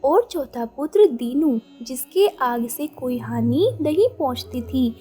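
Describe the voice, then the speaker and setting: A woman giving scripted narration of a children's moral story in a measured storytelling manner. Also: high voice, animated, warm tone, soft background music.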